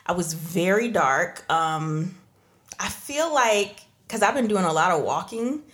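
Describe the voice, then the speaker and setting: A woman's voice: on the low side, lively intonation, measured pace, fairly bright tone. A woman with an American accent, chatting casually with friends as a podcast host. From Trinidad and Tobago, moved to the United States at four. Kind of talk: casual group chat.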